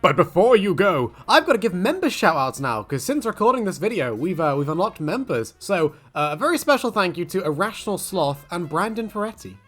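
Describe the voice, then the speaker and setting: A man's voice: sits high, very animated, a little fast, few pauses, fairly bright tone, faint music behind. A man hosting a casual chat in a rambling, joking way with crude humour. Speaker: host.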